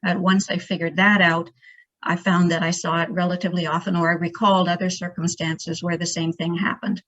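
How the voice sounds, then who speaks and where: A woman researcher answering academic questions informally, haltingly thinking aloud and repeating words as she goes. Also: fairly low pitch, little pitch movement, fairly bright tone. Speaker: primate researcher, guest speaker. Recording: dull lo-fi sound.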